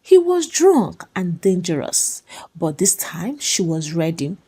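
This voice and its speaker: Slow, husky woman storyteller. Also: fairly low pitch, big pitch swings, mellow. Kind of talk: dramatic scripted story narration.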